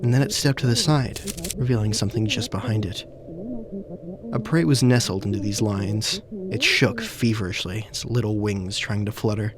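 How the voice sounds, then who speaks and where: A man acting as an uneasy protagonist who narrates a surreal audio drama in deliberate, literary, descriptive phrases. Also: fairly low pitch, very animated, a crowd in the background. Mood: uneasy, intent. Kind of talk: scripted first-person audio drama narration.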